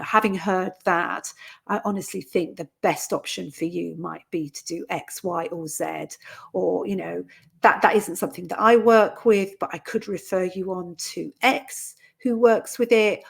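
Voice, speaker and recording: A woman giving an informal instructional talk as a coach, explaining conversationally with soft qualifiers and example phrases. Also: on the low side, normal speed, vocal fry, breathy edge. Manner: conversational, explanatory, hedging with "sort of" and "you know". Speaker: coach and trainer for therapists.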